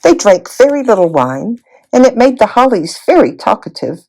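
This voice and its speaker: A woman reading a children's fantasy novel aloud as an expressive storybook narrator. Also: mid-range voice, moderate pace, poor audio. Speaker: volunteer storybook reader.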